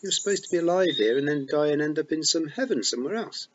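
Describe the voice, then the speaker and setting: A man's voice: fairly high pitch, animated, quick pace, lo-fi sound, voice pushed up. A man voicing a serious, practical young girl in an audiobook. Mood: insistent. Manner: earnest, questioning.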